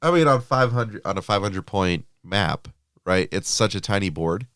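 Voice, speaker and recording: A man's voice: lowish voice, lively intonation, normal speed, fairly bright tone, smooth, no echo, clean studio sound. A man co-hosting a podcast, chatting casually about tabletop wargaming with frequent fillers, a little sniffly from allergies. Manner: chatty, filler-heavy, laughs easily. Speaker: podcast co-host.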